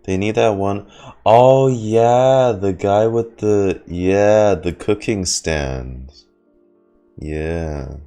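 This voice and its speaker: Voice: sits low, very slow, warm tone, clean tone, soft background music. A streamer giving casual, rambling, slangy reaction commentary on a game, thinking aloud and speculating.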